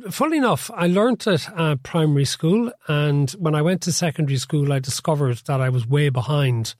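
A man in his fifties with a Cork accent, co-hosting a podcast and explaining things in a measured, self-deprecating way. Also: on the high side, animated, darkish tone, dry recording, studio quality. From Cork, Ireland. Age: 50s. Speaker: podcast co-host. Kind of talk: conversational political chat.

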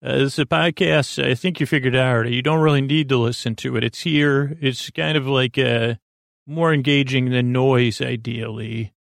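A man with a gravelly, creaky voice rambles through an improvised bedtime monologue as a sleep podcast host. He hesitates, trails off and circles back, all captured in a close, dry sound. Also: mid-range voice, fairly even intonation, normal speed, dry recording.

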